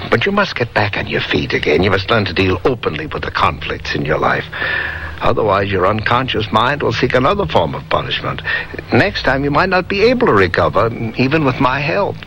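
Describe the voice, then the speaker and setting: A calm, learned psychiatrist in an old radio drama, with a low, bright voice and measured, assured explanations. The broadcast recording is hissy and vintage. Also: male voice, heavily acted, rough recording. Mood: assured, authoritative. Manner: measured explaining, soft chuckles.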